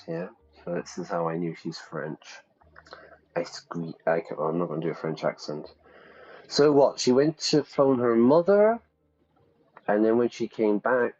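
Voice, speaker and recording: A man's voice: fairly low pitch, big pitch swings, unhurried, a little mellow, lo-fi sound. A man giving casual, playful commentary to viewers as he plays a game, thinking aloud. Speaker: live streamer and English teacher.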